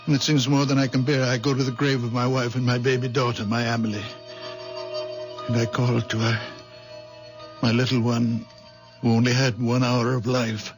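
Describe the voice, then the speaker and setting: A man playing a halting, apologetic very old man. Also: medium pitch, little pitch movement, faint music behind, lightly acted, low-quality recording. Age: older. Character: gentle, troubled very old man with healing hands. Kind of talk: 1970s radio drama acting.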